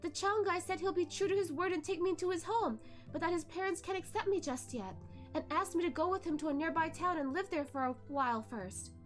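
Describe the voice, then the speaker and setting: A woman's voice: very high voice, brisk, faint music behind, voice pushed up. A woman voicing a bitter, vengeful male ghost, a former courtesan, in a hateful, mocking, dramatic storybook read-aloud.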